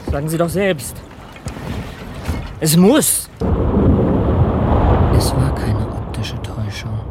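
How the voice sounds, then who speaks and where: A man's voice: mid-range voice, natural voice. Grave ship's captain, played by a man, noisy sea ambience. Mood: uneasy, then grave narration. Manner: solemn, literary, measured delivery. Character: grave, questioning male captain of an Arctic expedition ship. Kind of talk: German radio drama acting and narration.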